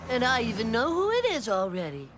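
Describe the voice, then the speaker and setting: A woman's voice: on the high side, huge pitch swings, a little fast, faint music behind. A woman voicing a chubby cartoon boy who acts like a self-important detective and grumbles. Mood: pompous. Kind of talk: cartoon voice acting.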